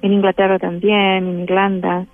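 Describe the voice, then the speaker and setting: A woman's voice: medium pitch, monotone, moderate pace, faint music behind. A Chilean woman with a Chilean Spanish accent, a guest in a spiritual interview, who talks hesitantly with many fillers and restarts. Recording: tinny phone-line sound.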